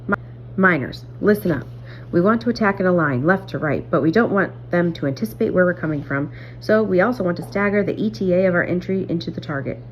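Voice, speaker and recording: A woman voicing a stern, commanding teenage girl miner in a storybook reading, brisk and military. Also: on the low side, animated, natural voice. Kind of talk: acted dialogue in a storybook reading. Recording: muffled audio, clear background hum.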